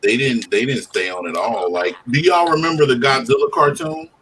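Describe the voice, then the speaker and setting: A man's voice: mid-range voice, big pitch swings, moderate pace, fairly bright tone. A man chatting casually as a panelist on an online call. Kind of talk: casual chat. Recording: noisy online call with clicks.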